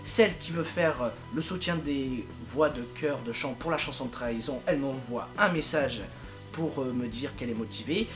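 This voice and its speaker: Halting, casual man over music. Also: medium pitch. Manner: halting, rambling, informal. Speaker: amateur fandub project leader and YouTuber. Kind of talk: casual, unscripted video update to followers.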